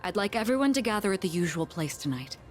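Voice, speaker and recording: A young female student leader in a video game, acted by a woman. She speaks in a medium pitch with formal, courteous authority. Music plays softly underneath. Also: sing-song, fast talker, natural voice. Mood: businesslike.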